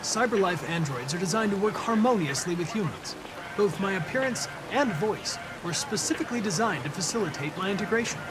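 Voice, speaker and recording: Young android cop in a game, formal n precise, kinda high male voice, noisy background. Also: expressive, a little fast. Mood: earnest, composed. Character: polite young male android detective, earnest and analytical. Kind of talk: video game acting.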